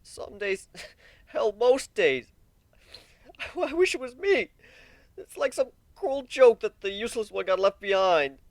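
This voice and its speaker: A man voicing a timid, self-loathing, grieving pegasus pony for a fan fiction reading, with halting, stammering delivery. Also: high voice, big pitch swings, no echo, voice pushed up, full character voice. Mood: tearful anguish.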